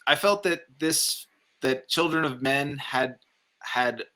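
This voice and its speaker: Bright, opinionated man critiquing films. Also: mid-range voice, takes it slowly. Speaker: film review co-host. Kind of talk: casual film critique.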